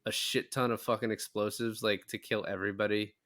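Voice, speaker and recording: A man's voice: on the low side, level tone, a little fast, a bit bright, dry recording, studio quality. A man in a casual film discussion, retelling things in a rambling way with some swearing.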